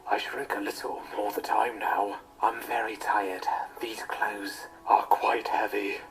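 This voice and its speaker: An actor playing a tired, fretful little giant who has shrunk, gentle and odd, in fantasy film dialogue. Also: male voice, on the low side, fairly even intonation, unhurried, slight background noise, rough recording. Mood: weary, wistful.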